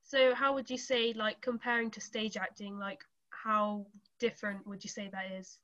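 A woman's voice: mid-range voice, flat delivery, normal speed, fairly bright tone. A woman asking interview questions tentatively, filling them with likes and ums. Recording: dull lo-fi sound.

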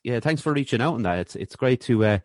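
Fast-talking Irish man hosting a podcast. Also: medium pitch, expressive, no room sound, studio quality. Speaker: podcast host. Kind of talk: casual interview chat.

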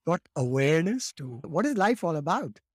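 A man in his fifties, raised in India, telling his story as a podcast guest in a lively way, often acting out quotes and repeating words as he starts. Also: a little high, normal speed, breathy edge, no echo, clean studio sound. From born in Morocco, raised in India. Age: 50s. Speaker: guest, laughter yoga teacher.

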